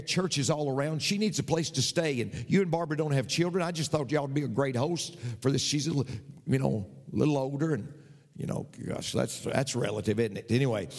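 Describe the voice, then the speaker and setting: A man preaching a sermon, telling a story and building to shouts. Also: mid-range voice, a little fast. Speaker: pastor. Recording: long reverb of a hall.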